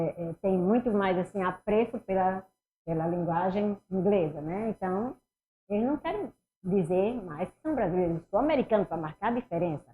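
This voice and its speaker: A middle-aged woman with a Northeastern Brazilian accent, teaching an animated online exam-prep class and talking students through each step. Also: mid-range voice, a little hiss or hum. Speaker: Portuguese teacher. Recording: somewhat dull lo-fi sound.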